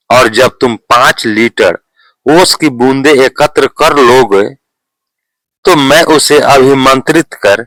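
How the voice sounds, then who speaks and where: Man narrating as a slow-speaking village holy man. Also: medium pitch, fairly bright tone, dry recording, poor audio. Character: calm revered village holy man, instructing and promising.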